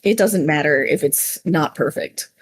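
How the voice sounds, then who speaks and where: A woman chatting casually as a podcast panelist, halting and repeating her words in a self-effacing way. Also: low voice, slightly rough. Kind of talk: casual chat.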